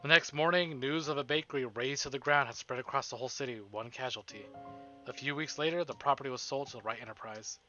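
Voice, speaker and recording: A man's voice: mid-range voice, quick pace, lo-fi sound. A man streaming a visual novel, reading the story aloud as dramatic narration. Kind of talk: dramatic read-aloud narration with casual asides. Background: soft game music.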